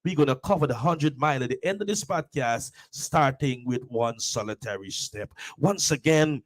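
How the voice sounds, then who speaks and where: A man's voice: medium pitch, expressive, moderate pace. A man with a Guyanese accent hosting a live motivational talk, preaching in repeated, building phrases with frequent "oh yes" interjections. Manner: preachy, repetitive, builds with rising exclamations. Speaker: host and motivational speaker.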